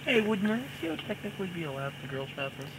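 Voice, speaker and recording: High-voiced young man heckling a friend. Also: very animated, fast, a little hiss or hum, rough recording. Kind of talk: casual banter. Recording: amateur recording, loud crowd chatter behind.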